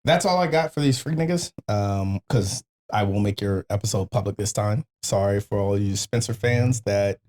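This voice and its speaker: A man's voice: on the low side, normal speed, few pauses, a little mellow. A man hosting a podcast in casual chat, telling stories informally with plenty of slang and swearing. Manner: profane, slangy, informal storytelling. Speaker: podcast host.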